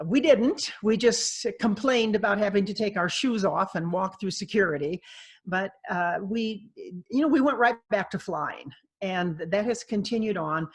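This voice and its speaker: A woman's voice: mid-range voice, husky. Chatty woman author on a video call. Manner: chatty and animated, laughs often. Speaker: author and guest. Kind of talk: video call interview, conversational.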